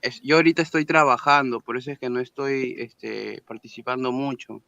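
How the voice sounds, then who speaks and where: A man's voice: mid-range voice, steady tone, brisk, creaky, sharp tone. A man, a student apologizing hesitantly for not taking part in class. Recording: dull lo-fi call audio.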